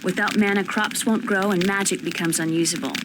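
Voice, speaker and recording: Woman as young female summoner, video game acting, medium pitch, sincere explanatory delivery, noisy background. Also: steady tone, brisk, lightly acted. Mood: earnest, explaining. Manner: earnest, explanatory. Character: earnest young female summoner from another world. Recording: noisy game ambience behind voice.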